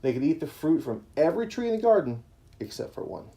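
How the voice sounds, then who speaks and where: A man's voice: fairly high pitch, sing-song, fast talker, mellow. A man hosting a family livestream, chatting casually and reading a bedtime story in an informal, explanatory way.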